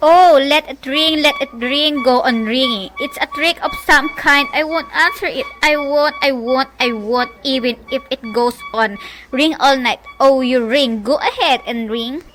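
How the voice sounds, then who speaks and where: A young woman playing a frantic, nervous, demanding married woman in an amateur radio play, with halting, stumbling delivery. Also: high voice, music in the background, rough recording. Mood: fretful, anxious. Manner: halting line delivery, stumbling over words.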